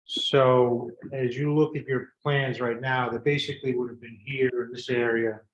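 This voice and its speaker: A man's voice: mid-range voice, flat delivery, moderate pace, muffled recording. A man giving an informal, explanatory presentation of a plan at a board meeting. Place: meeting room. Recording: echoey room with background noise.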